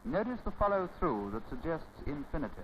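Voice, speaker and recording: An old, dull-sounding film soundtrack with faint noise carries a man's commentary. His voice sits a little high for a man. He speaks formally and didactically in measured, scripted phrases, in the manner of a 1930s instructional film. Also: sing-song.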